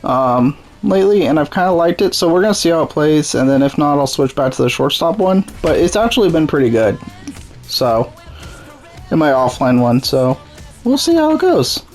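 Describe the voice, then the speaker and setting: A man's voice: a little high, sing-song, normal speed, darkish tone, soft background music. A young man with a sore, strained throat, casually commenting on his own baseball game. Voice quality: voice strained and rough. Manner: laid-back, self-deprecating. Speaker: gaming YouTuber. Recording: noisy home recording.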